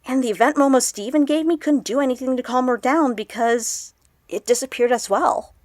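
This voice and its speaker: Woman acting an excitable, high-voiced young vlogger girl. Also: normal speed, no room sound, clean studio sound, lightly acted. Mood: chatty, troubled. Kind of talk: audio drama acted as a confessional vlog.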